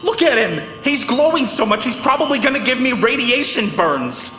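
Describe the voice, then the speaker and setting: An actor playing a spoiled, pressured teenage boy, indignant and petulant, in an animated drama. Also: very high voice, normal speed, full character voice. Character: spoiled, pressured teenage son of a powerful boss. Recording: echoey hall with faint noise.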